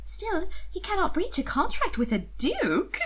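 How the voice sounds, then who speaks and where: A woman voicing a lively young noblewoman who coaxes and manipulates with sweet, breathy emphasis in an audiobook-style story. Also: very high-pitched, unhurried, pitched above the performer's own voice, exaggerated voice. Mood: coaxing.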